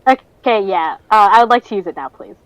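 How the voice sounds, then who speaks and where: A woman's voice: medium pitch, animated, brisk, no room sound, rough recording. A woman playing a tabletop role-playing game, casually describing what her character does.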